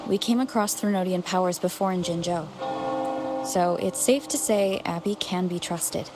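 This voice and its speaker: An actress playing a level-headed, reassuring young woman adventurer, speaking calmly and directly in scripted game dialogue. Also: lowish voice, normal speed, lightly acted. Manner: calm, direct, reasoning. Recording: game music behind the voice.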